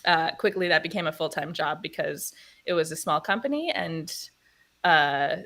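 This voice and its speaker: A woman in her thirties, her voice sitting somewhat low. She is a guest in a casual interview, rambling through her story at length and laughing easily. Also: lively intonation, normal speed, pushed and bright. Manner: chatty, meandering, laughs often. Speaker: guest, actor and producer.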